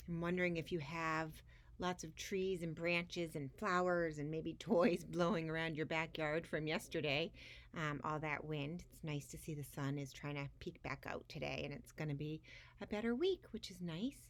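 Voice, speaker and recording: A woman's voice: low-pitched, fairly even intonation, normal speed. A woman hosting a story time for young children, speaking expressively as she shares a picture book.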